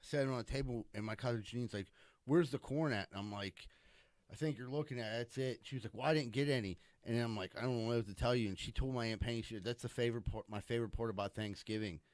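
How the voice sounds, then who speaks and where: A man's voice: medium pitch, fast, darkish tone, no echo. A man co-hosting a podcast, telling a rambling family story in casual chat. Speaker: podcast co-host.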